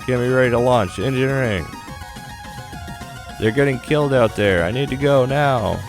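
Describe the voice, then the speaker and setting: Casting: trapped rookie pilot, played by a man, urgent pleading shouts, pitched well up, visual novel game. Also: moderate pace, over music, lightly acted. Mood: desperate. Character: desperate trapped rookie mech pilot begging to launch.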